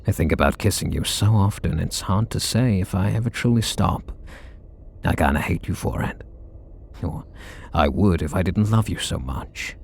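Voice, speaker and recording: Man doing a bashful super villain, very deep voice, husky, soft intimate ASMR delivery. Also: expressive, normal speed, full character voice. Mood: flustered. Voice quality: low gravelly husky voice. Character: flustered super villain man, tender, guarded. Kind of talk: ASMR audio roleplay.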